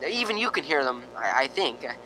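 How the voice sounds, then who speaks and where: A man's voice: mid-range voice, very animated, a little fast, pushed and bright, a little hiss or hum, low-quality recording. A man acting in a radio drama as a nervous radio host in a haunted house, stammering and hesitant. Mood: uneasy.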